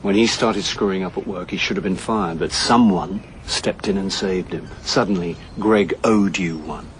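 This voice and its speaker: Low-voiced English man, acting a droll, dogged middle-aged detective, deliberate delivery, radio drama, some background noise. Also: natural voice. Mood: quietly accusing. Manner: dry, deliberate, understated. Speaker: narrator and lead actor. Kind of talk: radio drama dialogue and first-person narration.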